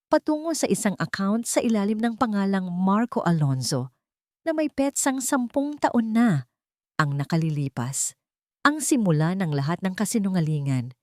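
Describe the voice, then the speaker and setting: A woman narrating a dramatic first-person audiobook story in a measured, storytelling way. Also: low voice, darkish tone, no room sound, studio quality.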